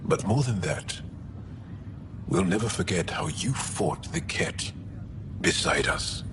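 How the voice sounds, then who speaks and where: A man playing a grateful, gently wry alien warrior ally in a video game cutscene. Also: low-pitched, big pitch swings, takes it slowly, exaggerated voice. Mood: solemn, hopeful. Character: non-human humanoid alien. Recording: noisy ambience behind the voice.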